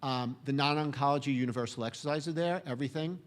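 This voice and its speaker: Guy giving a conference talk, chatty and loose, mid pitch. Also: quick pace. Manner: relaxed, conversational with fillers. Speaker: conference speaker.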